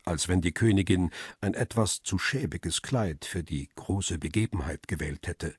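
An actor playing a world-weary middle-aged photographer who narrates a crime radio play in a measured, reflective way. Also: male voice, low voice, slightly rough, no room sound, studio quality. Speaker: first-person narrator.

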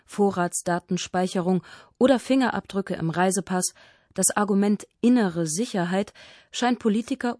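A woman's voice: fairly low pitch, darkish tone, no room sound, clean studio sound. A woman reading scripted narration as the narrator of a radio feature.

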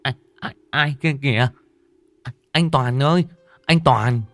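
A man's voice: mid-range voice, unhurried, fairly bright tone, dry recording, voice pushed up. A man voicing a terrified young village man who stammers and repeats himself in panic. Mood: panicked.

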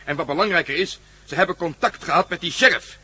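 A man's voice: fairly high pitch, fast talker, pushed and bright, breathy edge. A male actor playing a no-nonsense police inspector in a radio drama, curt and businesslike. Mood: brisk, commanding.

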